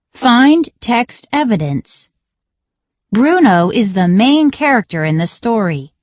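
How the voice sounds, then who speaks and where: A woman reading a scripted children's reading lesson in a deliberate, instructional manner. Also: a little high, dry recording. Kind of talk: scripted educational read-along narration for children.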